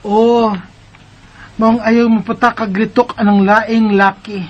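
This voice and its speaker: A woman's voice: on the high side, level tone, slow, lo-fi sound. A woman playing a secretive mother in a radio drama who warns and orders her children about. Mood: bossy warning. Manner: hushed, warning and bossy. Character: secretive, sharp-tongued young mother.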